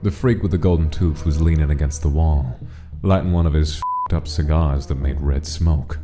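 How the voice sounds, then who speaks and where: A low-pitched male actor as a storyteller narrates a dark mafia tale of monsters for a comic dub. His delivery is scripted and dramatic, with clear music underneath. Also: normal speed. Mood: measured, ominous.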